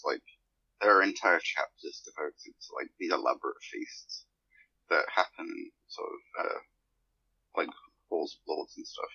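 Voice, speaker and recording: A man's voice: sits low, creaky, sharp tone, slightly rough, no echo. A man talking casually and a bit hesitantly on a group podcast, with wry humour and muffled sound. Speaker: podcast guest, fan fiction author.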